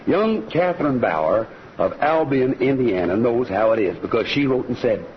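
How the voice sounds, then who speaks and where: An American man playing a friendly, folksy cowboy ranch host who pitches to listeners in the style of a 1940s radio western. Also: animated, normal speed, slight background noise, natural voice. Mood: hearty, folksy. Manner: folksy, hearty. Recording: dull lo-fi old radio recording. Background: music.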